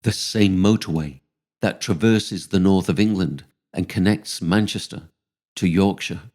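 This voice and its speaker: Guy reading his own story, deep mellow voice, measured scripted narration. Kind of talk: scripted first-person narration.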